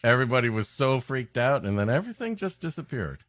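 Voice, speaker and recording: A man of medium pitch delivers sarcastic, mock-serious comic riffs. His intonation swings freely in a casual co-host style, and the sound is dry. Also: very animated, fast talker, a bit bright, dry recording. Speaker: podcast co-host. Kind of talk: comic banter and improvised riffs.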